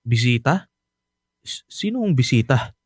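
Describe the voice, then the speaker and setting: Bitter grown son, mid-pitched, curt and sarcastic, dry radio drama sound with faint music. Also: male voice, sing-song, measured pace, darkish tone, slightly rough, no echo. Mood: puzzled. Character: bitter, resentful young adult son, curt and stubborn.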